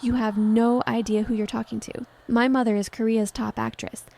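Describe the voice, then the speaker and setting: Haughty teenage bully for an audio story, read by a woman: high-pitched, honeyed, cutting, possessive. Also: flat delivery, normal speed, creaky, darkish tone. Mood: sneering contempt. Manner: honey-sweet but cutting. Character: haughty teenage mean girl, sweetly cruel and possessive.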